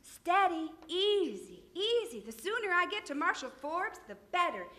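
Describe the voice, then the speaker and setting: A woman's voice: very high-pitched, big pitch swings, moderate pace, pushed and bright, heavily acted. A woman playing a feisty, grumbling Western ranch woman in an old-time radio play, exclaiming and muttering to herself. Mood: urgent.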